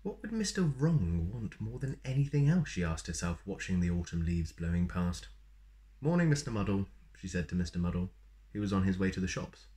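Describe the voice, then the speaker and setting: Expressive man with a very low voice. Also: fairly quick. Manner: expressive, playful. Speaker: storyteller reading a children's picture book. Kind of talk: animated read-aloud with asides to young listeners. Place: small plain room indoors.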